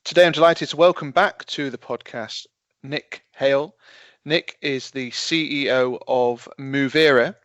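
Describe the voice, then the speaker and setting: A man hosting an interview podcast, chatting in a friendly way and trailing off quietly at the ends of phrases. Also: mid-range voice, measured pace, no room sound. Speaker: podcast host. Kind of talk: conversational interview. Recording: dull, dry lo-fi sound.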